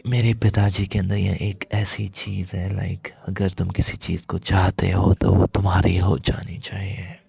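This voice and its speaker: A man playing a mafia boss's soft, lovesick son, hesitant and apologetic in an intimate, gravelly, husky ASMR roleplay. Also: low voice, flat delivery, no room sound, highs cut off, full character voice. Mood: troubled, hesitant. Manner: hesitant, halting, confiding, slipping into whispers.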